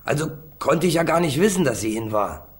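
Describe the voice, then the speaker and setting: A man's voice: fairly high pitch, lively intonation, fairly quick, natural voice. A man acting a defiant, street-tough young small-time crook in a German radio crime drama, flippant and slangy. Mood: defensive. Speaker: actor playing a defendant.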